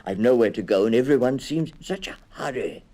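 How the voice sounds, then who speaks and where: A man's voice: medium pitch, animated, fairly quick, dark tone, pitched above the performer's own voice, lightly acted. Man voicing a small, polite, earnest young bear. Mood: polite, eager. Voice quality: small, clear voice. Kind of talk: children's audiobook character voice.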